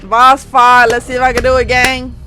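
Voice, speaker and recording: A woman's voice: high voice, completely flat delivery, fast, background noise. A young woman doing casual, joking let's play commentary, sweary and exclamatory. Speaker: gaming YouTuber. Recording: game music behind the commentary.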